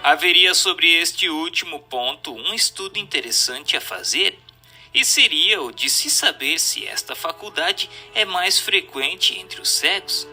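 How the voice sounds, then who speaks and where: A man with a Brazilian accent narrates a written text in a formal, instructive manner. His voice is very bright and sharp, set a little high, with animated rises and falls. The delivery is that of a scripted audiobook. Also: unhurried, soft background music. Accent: Brazilian Portuguese. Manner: didactic, formal. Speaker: narrator reading a book aloud.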